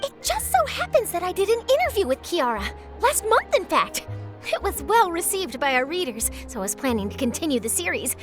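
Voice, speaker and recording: A woman's voice: extremely high pitch, very animated, fairly quick, over loud music. A woman voicing an eager, chatty young female journalist in a video game, breezy and persuasive. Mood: animated, confiding. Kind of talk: video game character dialogue.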